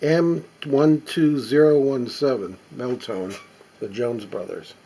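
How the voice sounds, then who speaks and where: A middle-aged or older man giving casual, unscripted show-and-tell commentary as a collector, halting and musing aloud as he inspects things. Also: medium pitch, fairly even intonation, mellow, low-quality recording. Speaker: record collector presenting finds.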